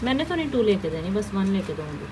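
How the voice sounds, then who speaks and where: A mother with a Pakistani Urdu accent, casually vlogging a shopping trip with her young daughter and gently teasing her. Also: medium pitch, sing-song, fast talker. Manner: teasing, firm with the child. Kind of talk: casual family vlog. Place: indoor shop. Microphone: phone held by the filmer. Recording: noisy shop ambience.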